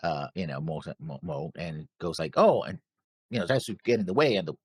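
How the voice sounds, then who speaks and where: A man with a low, slightly rough and husky voice that swings widely in pitch. He talks casually about a show, tentative and halting, repeating words as he goes. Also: very animated, a little mellow, dry recording, clean studio sound. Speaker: co-host of an anime reaction show.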